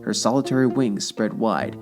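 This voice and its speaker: A man narrating a dramatic scripted story in a deliberate, solemn manner. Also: fairly low pitch, big pitch swings. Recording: music behind the voice. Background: instrumental music.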